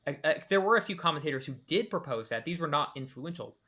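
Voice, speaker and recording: Male podcast host reacting to a clip, bright voice, analytical, lots of restarts. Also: on the high side, animated, fast. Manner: analytical, with many restarts and hedged speculation. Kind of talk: reaction commentary.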